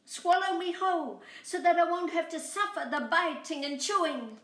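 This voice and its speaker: A woman's voice: extremely high pitch, moderate pace, echoey room, pitched above the performer's own voice. A woman voicing a small, sweet but crafty female lamb in a bleating, pleading singsong for a children's storybook.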